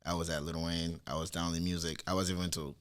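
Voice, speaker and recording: A man in his twenties with a low, deep voice chats as a podcast guest. He is from Nigeria and speaks in a laid-back, easygoing way, full of casual slang. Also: little pitch movement, fast talker, fairly bright tone. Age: 20s.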